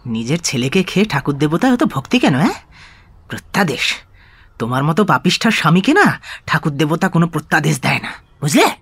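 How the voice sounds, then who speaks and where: Bitter, husky husband, wildly swinging voice. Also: male voice, a little high, sing-song, brisk, a bit bright, full character voice. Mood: scornful. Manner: accusing, taunting, snapping questions. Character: bitter, suspicious, ailing husband, prone to abusive rage. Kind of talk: Bengali audio drama acting.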